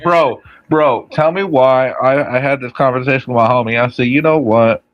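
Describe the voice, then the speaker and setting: A man's voice: mid-range voice, moderate pace, muffled recording, crowd noise. A man in his thirties on a podcast, blunt and opinionated, cursing freely. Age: 30s. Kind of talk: casual podcast chat.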